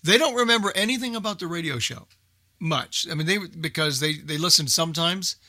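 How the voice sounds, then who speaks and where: Man, radio host, forceful bright voice, slightly high pitch, dry close sound, casual halting talk. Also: dry recording.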